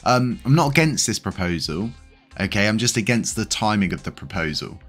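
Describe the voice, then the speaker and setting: Deep-voiced congested British man. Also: expressive, brisk, a bit bright, faint music behind. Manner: rambling, with many fillers. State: congested, slightly wheezy. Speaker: crypto channel host. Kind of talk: casual news commentary.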